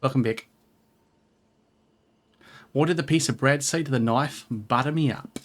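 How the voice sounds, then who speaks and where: A man with a mid-pitched voice talks casually over a co-op game. He rambles, jokes around and swears freely, like a streamer chatting with a friend. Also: measured pace. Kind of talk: casual co-op let's play chat.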